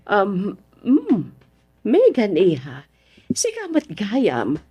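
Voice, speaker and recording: A woman playing a fond, chatty middle-aged mother in a Filipino radio drama, expressive and drawn out. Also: medium pitch, drawn-out words, lo-fi sound, heavily acted. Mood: fussing, welcoming.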